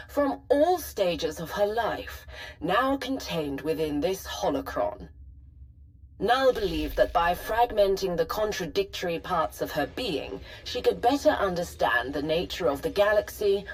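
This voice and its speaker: A woman playing a formal, measured artificial personality stored in an ancient archive, precise and helpful, in a video game. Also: on the low side, lively intonation, rough recording. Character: calm, formal female personality matrix of a Sith holocron. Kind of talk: scripted video game voice acting.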